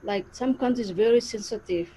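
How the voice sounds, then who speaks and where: A woman's voice: fairly high pitch, normal speed, mellow, a little hiss or hum. A woman from Timor-Leste, a course participant, politely asking a hesitant question on a video call. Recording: dull lo-fi call audio.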